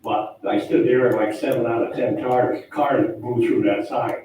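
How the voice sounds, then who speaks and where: A man, older, a town board official talking loudly and rambling at a public meeting. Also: mid-range voice, steady tone, normal speed. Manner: loud, chatty, rambling. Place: town hall meeting room. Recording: echoey hall, distant mic, faint noise.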